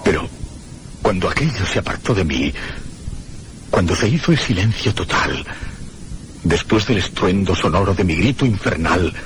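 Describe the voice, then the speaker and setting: A man playing a solemn, haunted narrator in a radio horror drama, with suspenseful, deliberate dramatic phrasing. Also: low-pitched. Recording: noisy radio broadcast recording.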